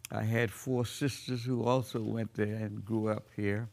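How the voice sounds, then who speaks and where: An elderly man in his eighties recalls his life in an oral history interview. He speaks slowly and thoughtfully, with a gravelly, creaky voice at a middle pitch. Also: flat delivery, a little mellow. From Bahamas. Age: 80s. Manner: slow, reflective storytelling.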